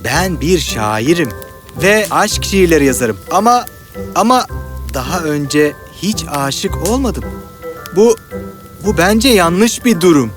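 An earnest, scatterbrained poet in a fairy-tale cartoon, with a fairly high, very animated voice. He speaks slowly and melodramatically over faint music. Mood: earnest, pleading. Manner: melodramatic, pleading. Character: earnest, dreamy, scatterbrained lovesick male poet.

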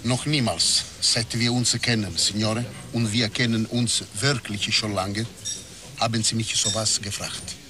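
An actor playing a courteous, evasive Italian bar owner with an Italian accent in a radio crime drama. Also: male voice, lowish voice, fairly even intonation, a little slow, a crowd in the background, natural voice. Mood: guarded, evasive. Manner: evasive, polite, terse.

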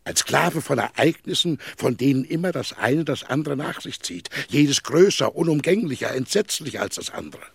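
An actor playing a middle-aged, weary and bitter powerful magnate in a German radio play, declaiming theatrically with a gravelly, husky voice. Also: male voice, medium pitch, expressive, moderate pace, hardly any pauses, no room sound. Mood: bitter, agitated. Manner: theatrical, declamatory, with rhetorical questions.

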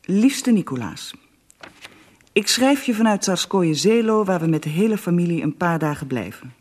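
An actress playing a devout Russian empress, reading out her letter with measured, insistent earnestness in a radio drama. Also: lowish voice, lively intonation, a little mellow. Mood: earnest pleading.

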